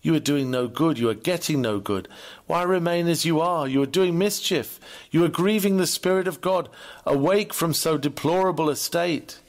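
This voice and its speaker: A man reading an old Victorian sermon aloud in an earnest, measured, oratorical manner. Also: fairly high pitch. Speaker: sermon reader.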